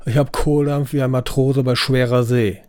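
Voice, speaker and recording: A man voicing Raymond, a gruff, boozy old sailor type who blusters. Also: mid-range voice, steady tone, darkish tone, dry recording, studio quality, close to an everyday voice. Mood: impatient. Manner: blustering, fast.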